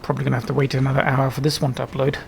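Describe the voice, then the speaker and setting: A man's voice: mid-range voice, monotone, rapid-fire, gravelly, slight background noise. A man giving casual, off-the-cuff gameplay commentary on a headset mic, muttering short, loose remarks to himself. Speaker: gaming video host.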